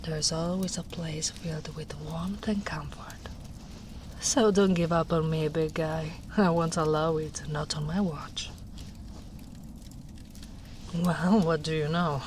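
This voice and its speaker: A tender, protective big ogre woman, played by a woman speaks in a hushed, half-whispered murmur. Her voice sits a little low and moves at a very slow, unhurried pace, like a soft-spoken storyteller by the fire. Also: slight background noise. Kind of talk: soft-spoken ASMR roleplay.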